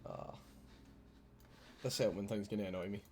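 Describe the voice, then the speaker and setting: A Scottish man with a low, gravelly voice talks through a game from his home streaming setup. He chats loosely and swears freely while he banters with his viewers. Faint ambient noise sits behind him. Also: steady tone, normal speed, mellow. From Scotland. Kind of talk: casual gameplay commentary.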